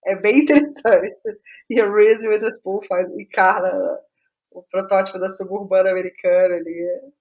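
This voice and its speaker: A Brazilian woman speaking as a guest playwright in a casual interview, digressive and halting, often restarting her words. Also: mid-range voice, steady tone, darkish tone, lo-fi sound.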